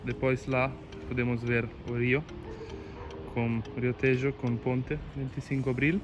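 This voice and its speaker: A man's voice: mid-range voice, level tone, unhurried, dull audio, music in the background. A young man with an Italian accent speaking Portuguese as a second language, pointing out sights outdoors for a travel vlog. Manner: speaks Portuguese as a learner, sometimes misusing words. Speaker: co-host. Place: outdoors on city streets.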